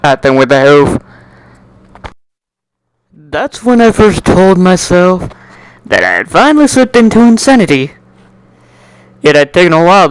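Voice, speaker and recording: A man giving an amateur dramatic reading of a first-person story in an animated, wry, sardonic way. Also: high voice, clean tone, rough recording. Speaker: amateur narrator.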